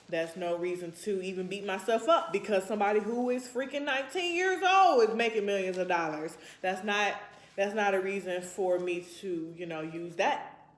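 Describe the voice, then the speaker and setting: A woman in her twenties chatting casually to her vlog audience in a rambling, reflective, informal way. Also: lowish voice. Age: 20s. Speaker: vlogger. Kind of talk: casual chat to camera. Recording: roomy, echoey sound.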